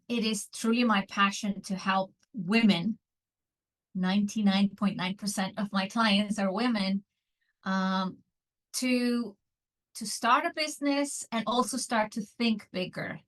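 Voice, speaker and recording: A woman, an online business mentor telling her story as a guest, earnest and pausing often with fillers. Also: mid-range voice, fairly bright tone, rough recording. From Iceland. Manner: earnest, frequent filler pauses.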